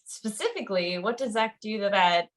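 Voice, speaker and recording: Bright-voiced, excitable woman co-host. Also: mid-range voice, moderate pace. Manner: excitable, informal. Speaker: podcast co-host. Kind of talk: casual chat.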